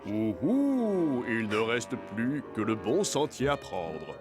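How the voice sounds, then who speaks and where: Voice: mid-range voice, sing-song, slow, music in the background. A performer voicing an odd, matter-of-fact owl guide in a children's cartoon, given to hooting. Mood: cryptic, calm. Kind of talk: animated children's film dub.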